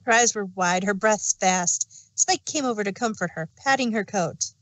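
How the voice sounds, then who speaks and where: A woman's voice: mid-range voice, normal speed, a bit bright, no echo, poor audio. A woman reading fan fiction aloud as an amateur storyteller and narrator.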